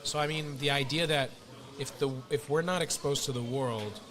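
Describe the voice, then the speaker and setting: A man hosting a podcast, chatting casually and telling stories in an animated way, acting out what other people said to him. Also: mid-range voice, normal speed. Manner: animated storytelling, acts out other people's lines. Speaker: podcast host. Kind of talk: casual chat.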